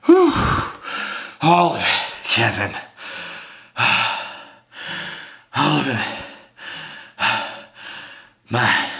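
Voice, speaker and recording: A man's voice: medium pitch, pushed and bright, full character voice. A man performing a dramatic monologue as the Devil, a gleeful, scornful tempter who rants theatrically and emphatically against God, sly and confiding or roaring. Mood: triumphant. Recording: roomy, echoing amateur room recording.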